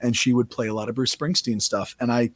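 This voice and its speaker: Male podcast guest, raspy, rambling delivery, dry mic. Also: mid-range voice, level tone, a little fast, no echo. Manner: rambling, self-correcting casual talk. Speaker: podcast guest, singer-songwriter. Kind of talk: casual podcast chat.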